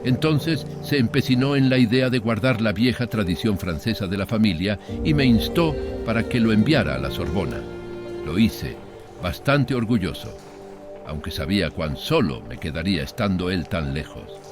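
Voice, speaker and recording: A man's voice: on the low side, big pitch swings, normal speed, music in the background. A man voicing a proud, lonely old planter who recounts his family past in a wistful, dignified audiobook monologue. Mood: regretful.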